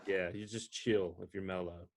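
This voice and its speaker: American guy, lower pitch, relaxed joking chat on a video call. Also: moderate pace. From United States. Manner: laid-back, joking. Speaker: podcaster.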